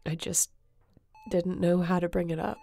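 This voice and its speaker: Casting: actress for tender, guilt-ridden female space captain, heavy vocal fry, halting emotional delivery, sci-fi roleplay. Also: on the low side, little pitch movement, warm tone. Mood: confessional, sad. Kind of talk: sci-fi audio roleplay acting.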